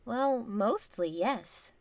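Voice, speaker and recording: A woman playing a composed, knowing divine being in an audio drama, with frank, measured and formal phrasing. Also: on the high side, animated, a little mellow, no room sound. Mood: matter-of-fact.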